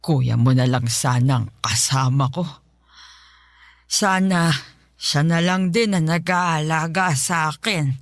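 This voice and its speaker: Slow, querulous elderly mother. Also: medium pitch, no echo, clean studio sound. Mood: bitter, stubborn. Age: older. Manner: querulous, sighing, complaining. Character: bitter, fault-finding elderly mother, bedridden after a stroke. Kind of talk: Filipino radio drama acting.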